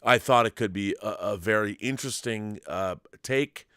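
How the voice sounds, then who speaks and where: A man's voice: on the low side, moderate pace, sharp tone, clean tone, no echo, studio quality. A man hosting a casual live stream, chatting in an enthusiastic, rambling way and reading out viewer messages.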